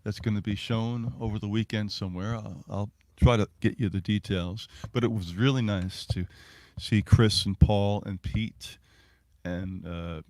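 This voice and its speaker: A middle-aged or older man, a musician, chatting casually to an online audience in a halting, rambling, reminiscing way. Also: fairly low pitch, fairly even intonation, darkish tone, no echo. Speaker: singer and musician hosting a livestreamed set. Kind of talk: casual between-song chat to an online audience.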